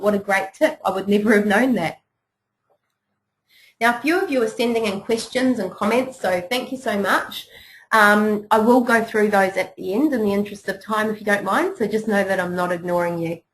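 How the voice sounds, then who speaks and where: A woman's voice: mid-range voice, fairly bright tone, low-quality recording. A woman with a New Zealand accent presenting a business webinar in a chatty, encouraging way, with frequent "you know" and "um" fillers. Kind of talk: business preparedness webinar talk.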